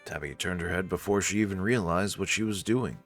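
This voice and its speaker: A man with a very low voice reads visual novel narration. He drops in casual asides as he goes, and light music plays behind him. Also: a little fast, a bit bright. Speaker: narrator and commentator.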